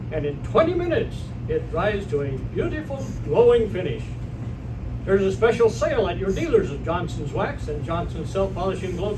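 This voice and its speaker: Man playing a high, animated old-time radio announcer. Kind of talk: 1940s radio announcing, scripted narration and ad. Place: live theater stage performance. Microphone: far from the microphone. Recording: noisy, distant stage recording.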